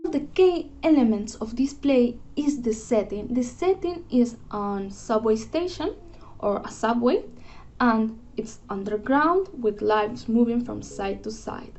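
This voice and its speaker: A woman's voice: on the high side, animated, measured pace, darkish tone. A young woman, a student giving a prepared book review in an earnest, careful way with a few restarts. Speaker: student presenter. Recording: dull lo-fi voice with music behind. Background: guitar music.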